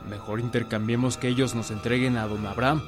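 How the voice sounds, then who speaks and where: Man playing a ruthless henchman over loud music. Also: mid-range voice, fairly even intonation, brisk, lightly acted. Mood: urgent, menacing. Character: ruthless male henchman advising his colonel. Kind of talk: radio drama acting.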